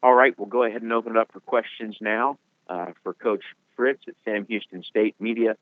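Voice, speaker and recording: A man's voice: on the low side, fairly even intonation, brisk, no room sound. A man moderating a conference call with businesslike procedural announcements. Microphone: telephone. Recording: phone-like conference line.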